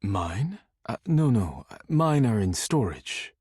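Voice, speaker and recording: Serious living dish, very husky breathy voice, formal and reserved, measured delivery, proud temper, game dialogue, dry studio sound. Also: male voice, lowish voice, sing-song, darkish tone, dry recording, exaggerated voice. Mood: sincere, grateful. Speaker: voice actor in a dating sim game. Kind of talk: scripted character dialogue.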